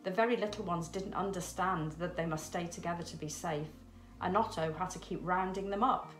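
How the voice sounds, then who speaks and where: A middle-aged woman reads a children's story with expression. Her voice is fairly low, and the room adds a clear echo. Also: a little fast, soft background music. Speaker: storybook reader. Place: small room at home, indoors. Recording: echoey domestic room.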